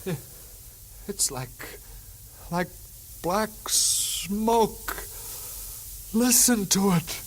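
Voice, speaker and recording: A man's voice: high-pitched, lively intonation, extremely slow, pauses often, full character voice. An actor playing a timid, frightened American man pleading nervously in a vintage radio horror drama, on a noisy old recording. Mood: horrified. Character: timid, frightened male sidekick called Sam, pleading. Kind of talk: 1930s-40s American radio horror acting.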